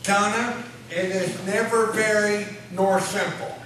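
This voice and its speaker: Man playing a slow, preachy small-town councilman. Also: sits high, fairly even intonation, a bit bright, a little hiss or hum. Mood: stubborn. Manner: slow, sanctimonious. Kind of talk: comic stage radio skit. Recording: very echoey room, distant mic.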